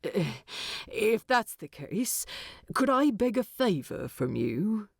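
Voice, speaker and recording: Woman voicing a creaky, gruff, formal dwarf man. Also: medium pitch, very animated, slow, dry recording, studio quality, exaggerated voice. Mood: awkward, polite. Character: gruff, awkward, courteous dwarf man in exile.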